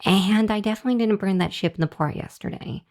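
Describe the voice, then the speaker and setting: A woman voicing a shy, earnest young hellhound demon girl in an ASMR roleplay, speaking to the listener in a hesitant, flustered, halting way. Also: medium pitch, darkish tone, close to an everyday voice. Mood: defensive, pleading. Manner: hesitant, flustered, halting with sighs and nervous chuckles.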